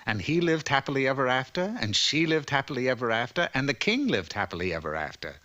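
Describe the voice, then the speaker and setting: A man's voice: medium pitch, big pitch swings, fast, bright, forceful tone. A man narrating a fairy tale as a wry, scripted storybook narrator.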